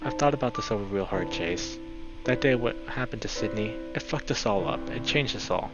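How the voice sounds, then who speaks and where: A man's voice: lowish voice, fairly quick, natural voice. A man voicing a hesitant, earnest young male wolf, a brotherly visual novel character weighed down by guilt. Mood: earnest, pained. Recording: slightly dull mic, music behind.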